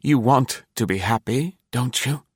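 Male spy lover in an old melodrama, acted by a male narrator, raised pitch, dogged, imploring, self-justifying. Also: a little slow, no room sound, clean studio sound. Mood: eager. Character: ardent, defensive male spy pleading with his lover.

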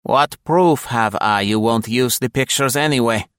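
A man's voice: medium pitch, unhurried, fairly bright tone, smooth, no room sound, studio quality, pitched above the performer's own voice, close to an everyday voice. A male narrator playing a cold, arrogant security police major, clipped and menacing. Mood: indignant.

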